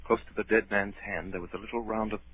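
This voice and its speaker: An actor playing a grown man who gravely recounts his boyhood adventure, narrating in 1930s radio drama style. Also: on the low side, steady tone, fast talker, lightly acted. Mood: grave, suspenseful. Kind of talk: 1930s radio acting, dramatic first-person storytelling. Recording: muffled, noisy 1930s radio broadcast.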